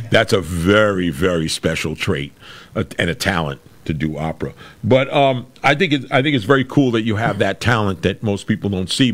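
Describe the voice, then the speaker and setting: A middle-aged man with a very deep voice, chatting casually as a podcast host over a video call. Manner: chatty, with restarts and laughs. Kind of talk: casual interview chat. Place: room with a brick wall.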